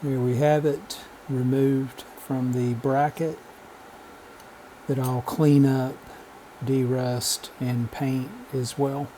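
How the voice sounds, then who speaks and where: A man narrating a vintage radio repair as a relaxed how-to voice-over, matter-of-fact and unhurried, with frequent "uh" pauses. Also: medium pitch, a little hiss or hum, low-quality recording. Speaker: radio restoration hobbyist.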